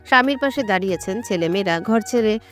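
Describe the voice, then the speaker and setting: A woman narrates a dramatic scripted story. Her voice sits at a middle pitch, and background music plays under her reading. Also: animated, fast talker, fairly bright tone.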